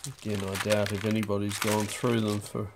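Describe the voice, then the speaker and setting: A man talking through a stamp auction lot in a dry, unhurried way, with wry asides, recorded over a desk. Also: on the low side, level tone, background noise. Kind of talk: off-the-cuff lot walkthrough. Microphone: overhead camera on a desk.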